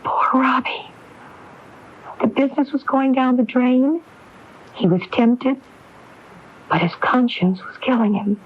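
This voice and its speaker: A muffled 1980s AM radio drama recording. a determined, grieving woman who presses with probing questions. Her delivery is deliberate and accusing, in a classic radio acting style. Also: high-pitched, steady tone, slightly rough, full character voice. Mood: accusing, grieved. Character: determined grieving woman confronting her brother over fraud.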